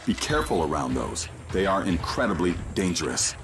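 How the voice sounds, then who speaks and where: An actor playing a mysterious male handler who guides an agent by radio, calm, commanding and instructive. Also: low-pitched, brisk, heavy background noise. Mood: steady guidance. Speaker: mission guide in a video game. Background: game score music.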